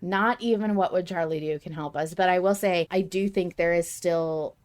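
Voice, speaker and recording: A woman podcast host talking casually and emphatically like an excited fan, often repeating and restarting her phrases. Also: lowish voice, normal speed. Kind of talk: casual fan discussion, ranting.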